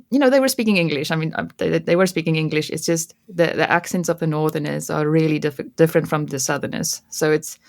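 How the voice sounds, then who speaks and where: A woman's voice: deep voice, gravelly, no room sound, clean studio sound. A woman from South Africa, an Afrikaans native speaker with a South African accent, chatting as an interview guest and often restarting her sentences. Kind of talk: casual interview chat.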